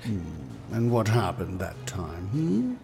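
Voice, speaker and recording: An actor playing a haughty, sarcastic reeve who drawls at peasants in a medieval audio drama. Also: male voice, lowish voice, big pitch swings, takes it slowly, darkish tone, heavily acted. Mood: sneering, mocking. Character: sneering, impatient reeve collecting taxes from peasants. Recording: long hall reverb, music underneath.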